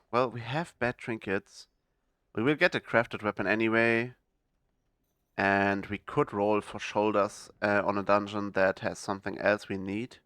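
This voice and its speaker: Young male game streamer, casual and halting, smooth voice, dry close mic. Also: on the low side, fairly bright tone, no echo. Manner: hesitant, many fillers and restarts. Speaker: gaming video host. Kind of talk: casual gameplay commentary.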